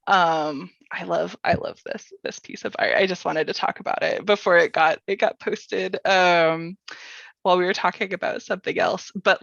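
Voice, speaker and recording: A woman chatting casually as a workshop panelist about storytelling, in halting phrases peppered with "like". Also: on the low side, gravelly, fairly bright tone. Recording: roomy echo, slightly dull sound.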